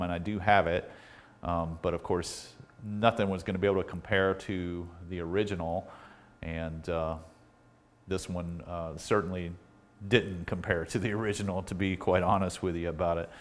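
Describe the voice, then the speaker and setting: A man hosting a repair video, talking in a casual, unhurried, conversational way as he demonstrates equipment. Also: low voice. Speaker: host of electronics repair videos. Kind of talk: casual product demonstration talk.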